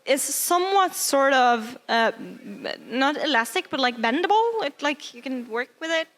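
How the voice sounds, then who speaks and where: A young woman who is not a native English speaker, giving a casual cosplay workshop, often pausing and searching for words. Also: high-pitched, big pitch swings, fairly bright tone. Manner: hesitant, many fillers, searching for words.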